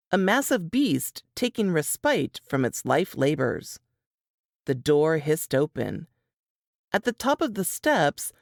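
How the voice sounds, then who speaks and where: A woman narrating a suspense novel in the first person, with deliberate, dramatic storytelling delivery. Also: low-pitched, creaky, no echo, studio quality.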